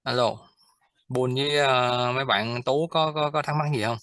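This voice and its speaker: A man in a work meeting gropes for words as he asks his questions, filling the gaps with pauses. The sound is dry and close. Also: medium pitch, fairly bright tone, no room sound. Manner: hesitant, many fillers, halting English. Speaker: client team member asking questions. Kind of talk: casual work meeting talk.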